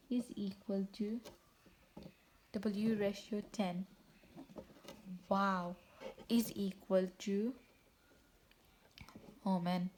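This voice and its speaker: A woman's voice: medium pitch, darkish tone, a little hiss or hum. Chatty woman teaching math. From Tanzania. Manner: chatty, self-correcting, talks to viewers directly. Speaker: math tutor. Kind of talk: casual teaching video.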